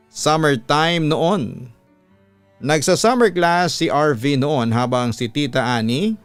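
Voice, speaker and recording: Smooth-voiced Filipino man telling a story over music. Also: medium pitch, dry recording. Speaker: radio announcer and storyteller, host. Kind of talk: scripted horror-story narration of a listener's letter. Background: background music.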